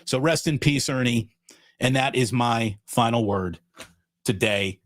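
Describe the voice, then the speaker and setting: A man's voice: medium pitch, measured pace, dry recording, studio quality. Emphatic man hosting a sports talk show. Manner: emphatic, repeats phrases for emphasis.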